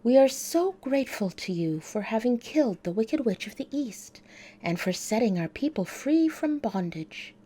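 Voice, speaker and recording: A woman voicing a sweet, calm, courteous little old lady in a storybook reading. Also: a little high, moderate pace. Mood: sweet, grateful. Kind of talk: storybook character voice.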